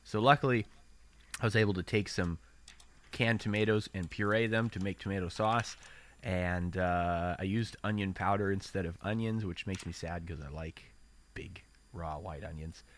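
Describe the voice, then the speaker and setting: A man's voice: low-pitched, fairly even intonation, normal speed. A man hosting a casual podcast chat, sounding congested, rambling and correcting himself as he talks. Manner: rambling, self-correcting, laughs often. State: congested after illness. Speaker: podcast host.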